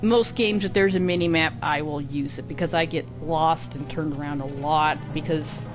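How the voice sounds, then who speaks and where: A woman's voice: low-pitched, normal speed. A young woman streaming a video game, chatting casually and rambling through explanations. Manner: chatty, rambling with fillers, laughs easily. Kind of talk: casual gameplay commentary. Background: game music.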